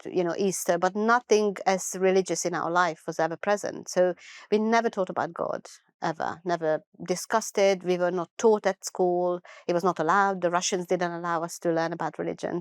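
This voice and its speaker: Hungarian woman, clear unhurried storyteller. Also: fairly low pitch, no echo, studio quality. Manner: earnest, unhurried storytelling with thoughtful pauses and restarts. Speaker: guest. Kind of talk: personal life story in an interview.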